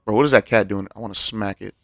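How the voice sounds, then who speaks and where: A man's voice: on the low side, steady tone, fast talker, vocal fry, fairly bright tone, dry recording. An American man giving slangy, joking live commentary on a game, talking back to it casually. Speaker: game streamer. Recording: music under the voice.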